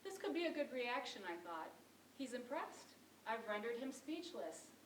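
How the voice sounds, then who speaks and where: Woman performing exasperated actress monologue, very lively pitch. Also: moderate pace. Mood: exasperated, wry. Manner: dramatic storytelling with emphatic quotes. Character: exasperated, wry struggling actress recounting a failed audition. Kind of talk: theatrical monologue. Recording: roomy distant mic, faint noise.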